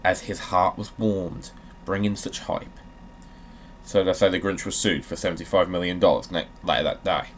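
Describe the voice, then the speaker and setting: A man's voice: sits low, fairly even intonation, normal speed, a little hiss or hum. A man hosting a gaming video, talking casually and reading aloud dramatically, with a joking, sweary manner.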